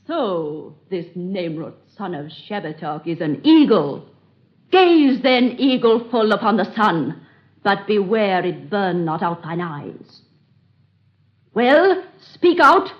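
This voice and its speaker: A woman's voice: mid-range voice, big pitch swings, takes it slowly. An actress playing a haughty, bored and cruel queen of ancient Egypt, in 1930s radio drama style with archaic, imperious diction. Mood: mocking. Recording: dull old radio recording.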